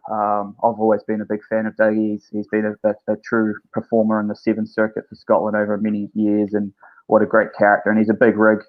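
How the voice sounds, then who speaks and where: Easygoing New Zealand coach, flat voice. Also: male voice, fairly low pitch, quick pace, narrow, tinny audio. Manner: easygoing, hesitant, many fillers. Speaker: head coach. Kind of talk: remote interview.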